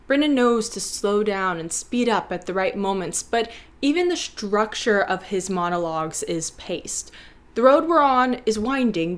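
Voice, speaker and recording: A woman hosting a video essay, reading scripted instructional narration in an articulate, playfully teacherly way. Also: medium pitch.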